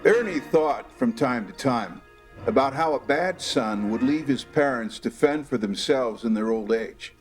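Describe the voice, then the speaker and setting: Man as storyteller, medium pitch, measured, wry, reflective, scripted narration, faint music behind. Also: lively intonation, lightly acted. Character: reflective male storyteller narrating a period radio drama.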